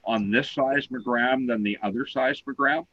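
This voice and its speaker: Loud-voiced man patiently explaining. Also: medium pitch, moderate pace, dry recording, dull audio. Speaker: co-host explaining seismograms. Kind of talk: online talk show discussion.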